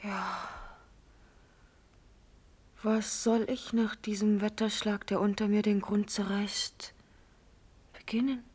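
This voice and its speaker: An actress playing an orphaned, devoted young princess in a classical radio drama, declaiming verse solemnly and breathily. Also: female voice, mid-range voice, little pitch movement, slow pace, long pauses, darkish tone, husky. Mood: grieving.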